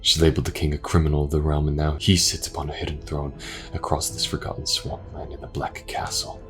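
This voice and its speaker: A man's voice: a bass voice, little pitch movement, a bit bright, faint music behind. A man playing a gallant, formal alien sailor warrior with courteous, archaic speech in an audio roleplay drama. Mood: grave, courteous. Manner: formal, archaic wording, measured.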